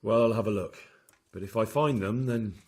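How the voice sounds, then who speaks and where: Young medieval investigator, lowish husky voice, direct, probing, determined, plain-spoken, game drama. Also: male voice, normal speed, darkish tone. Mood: sympathetic, reserved. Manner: direct, probing, matter-of-fact. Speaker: video game protagonist, investigator. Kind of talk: dramatic game cutscene acting.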